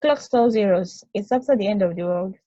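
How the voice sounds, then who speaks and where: Reassuring probe companion, female actor, warm relaxed tone, medium pitch, dull home recording, stage play reading. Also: fairly quick, lightly acted. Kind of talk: amateur play table read.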